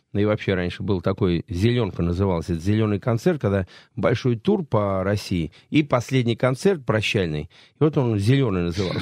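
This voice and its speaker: Middle-aged man, host, lowish voice, chatty, dry studio sound. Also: no room sound. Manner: relaxed, chatty storyteller. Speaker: radio show host. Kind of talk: casual interview chat.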